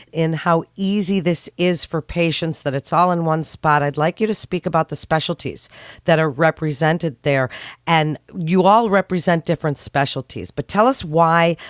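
Casting: woman host, polite scripted interviewer, mid pitch, dry close sound. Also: dry recording. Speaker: podcast host. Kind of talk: interviewing guests.

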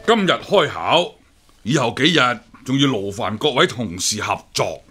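Bright-voiced courteous chief examiner. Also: male voice, medium pitch, expressive, unhurried, breathy edge, soft background music, full character voice. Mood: calm. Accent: Hong Kong Cantonese. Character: unhurried, courteous senior chief examiner, seasoned official. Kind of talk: radio drama acting.

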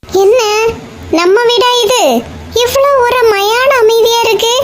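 Casting: woman as fretful mum calling out, very high pitch, Kanyakumari Tamil accent, comic cartoon, faint noise. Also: slow pace. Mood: puzzled, calling. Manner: exclaiming, calling out. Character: fretful village mother calling her daughters.

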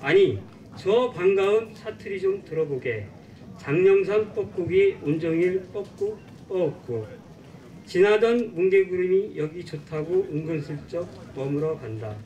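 A man in his sixties reads a poem in a measured manner at an outdoor stage. His voice is slightly high for a man and sounds a bit set back from the stand microphone. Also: darkish tone, recorded from a distance, poor audio. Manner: measured reading. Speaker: poet reading a poem. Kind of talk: poetry recitation.

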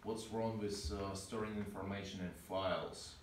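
A man's voice: low voice, moderate pace. A man in his thirties with a Russian accent, teaching an informal programming class in a small meeting room and asking the students questions. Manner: relaxed, asks the class questions. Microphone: far camera. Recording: roomy, distant, noisy room sound.

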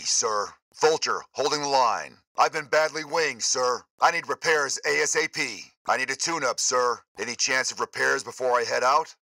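An actor playing a brisk, confident military gunship pilot in a video game, speaking in clipped radio callouts. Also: male voice, mid-range voice, sharp tone, no echo. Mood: matter-of-fact, dutiful. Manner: clipped, military, addressing superiors as sir.

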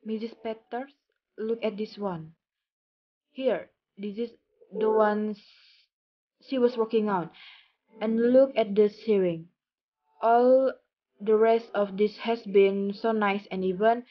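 A young woman playing a wistful, sympathetic farm wife in an amateur stage drama, with halting delivery. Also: mid-range voice, steady tone. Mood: puzzled. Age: young adult. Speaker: student actor in a class play. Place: small curtained room at home. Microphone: phone or laptop at arm's length. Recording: muffled narrow-band home recording, music behind.

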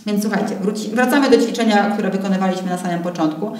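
A woman in her thirties leading a warm-up exercise in an encouraging, playful, conversational way, often checking in with short tag questions. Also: mid-range voice, fast talker. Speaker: voice warm-up instructor. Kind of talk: guided exercise instruction, conversational. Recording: roomy echo, distant camera microphone.

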